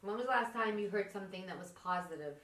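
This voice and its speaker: A woman's voice: medium pitch, fast talker, fairly bright tone, echoey room, recorded from a distance. A woman with a New York accent, a guest on a podcast, talking bluntly and teasing in casual chat. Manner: blunt, teasing, matter-of-fact. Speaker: podcast guest, energy healer.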